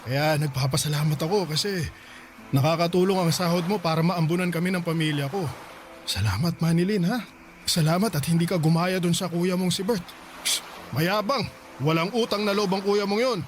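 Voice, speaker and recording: A man's voice: sits high, normal speed, slight background noise, natural voice. A man playing a shameless middle-aged father who talks smugly and mocks others in a radio drama. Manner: smug, mocking, laughing.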